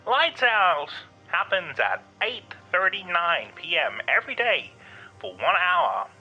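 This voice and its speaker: A man performs a theatrical hotel radio host for an audio drama. The voice is very sharp and husky, swooping up and down in slow, showy announcer phrases over music. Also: high voice, wildly swooping pitch, highs cut off, full character voice. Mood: showy, welcoming. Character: radio host spirit of an otherworldly hotel, smooth showman announcer.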